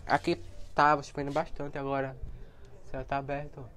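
A young man with a cold and a hoarse voice, chatting casually as he films his plants outdoors on a handheld phone. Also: mid-range voice, monotone, brisk, a bit bright, slight background noise. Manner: chatty, points things out to viewers. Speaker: plant vlogger. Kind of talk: casual walkthrough vlog. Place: outdoor backyard garden area. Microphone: handheld phone camera.

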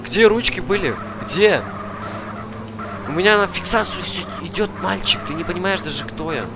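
A man's voice: sits high, normal speed. Pushy young man, game noise behind. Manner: pushy, demanding, mock-official bluster. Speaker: gamer streamer playing a detainee in an online roleplay server. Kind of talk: in-character roleplay chat over voice chat. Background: clear noise and game car sounds.

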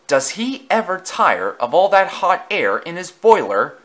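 A man voicing a cheeky small male tank engine with a sharp, indignant way of speaking. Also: sits high, very animated, a little slow, voice pushed up, heavily acted.

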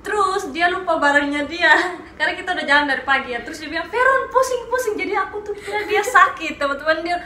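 Young woman vlog host, high bright voice, lively and giggly, in a roomy bedroom with a faint hum behind her. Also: quick pace, few pauses. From Indonesia. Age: young adult. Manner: animated, laughing, playful storytelling. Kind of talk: casual chat. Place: small bedroom, indoors.

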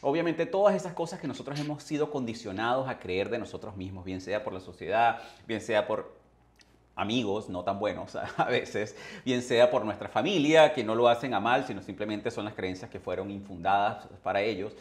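A man's voice: fairly low pitch, normal speed. A man in his forties hosting an interview from a small studio, affirming his guest and asking long, searching questions. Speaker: host. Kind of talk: conversational interview. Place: studio with branded backdrop. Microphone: studio microphone on arm.

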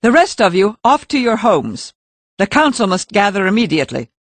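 A woman's voice: medium pitch, big pitch swings, moderate pace, no echo. An actress playing a formal, commanding woman village elder in an audiobook.

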